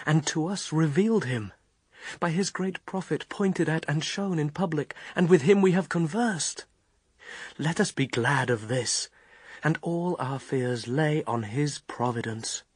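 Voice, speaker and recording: A man playing a hopeful, trusting fisherman disciple, speaking earnest, measured verse in a radio drama. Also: fairly high pitch, husky, no echo.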